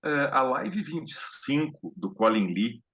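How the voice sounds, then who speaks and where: A man's voice: mid-range voice, big pitch swings, measured pace, a bit bright. A man from Brazil hosting a casual live-stream talk on music therapy, speaking hesitantly with many fillers and restarts. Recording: dull lo-fi live-stream audio.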